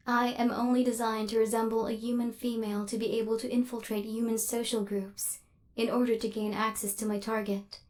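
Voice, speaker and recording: A woman's voice: a little high, a little mellow, close to an everyday voice. A woman voicing a female robot assassin in an ASMR roleplay, cold and relentless, with clipped, formal, mechanical delivery. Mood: puzzled, clinical.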